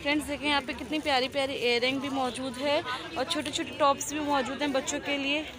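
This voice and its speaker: A woman's voice: high voice, steady tone, fast. A woman with a Pakistani Urdu accent vlogging a market tour, chatting to viewers and asking sellers prices. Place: busy outdoor street market. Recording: crowded outdoor market noise. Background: crowd chatter and traffic.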